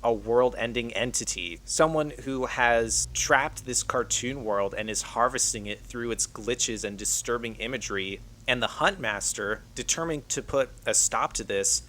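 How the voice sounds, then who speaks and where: A man's voice: medium pitch, normal speed, fairly bright tone, a little hiss or hum. A man reading a scripted video essay as the narrator of an online channel.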